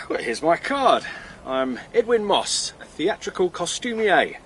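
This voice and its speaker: A slippery English villain in a radio play, bright, forceful and slightly high for a man. His delivery is very lively, clipped and oily, with faint noise behind. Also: slow, full character voice. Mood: falsely courteous. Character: smooth-talking crook, menacing, then falsely courteous theatrical gentleman. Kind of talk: radio drama acting.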